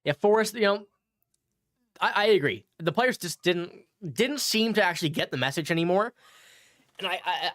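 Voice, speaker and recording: A slightly nasal man, a bit under the weather, talks through a casual livestream and answers viewers' comments. His pitch sits a little high and swings a lot. The sound is dry and close, with no room echo. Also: fairly bright tone. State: a bit sick. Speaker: sports channel host.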